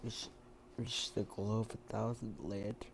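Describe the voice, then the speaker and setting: A man's voice: on the low side, level tone, a little slow, creaky, slight background noise. A man commentating casually on a game livestream, muttering to himself and reading screen text aloud. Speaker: game streamer. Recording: loud game music behind. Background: loud game music.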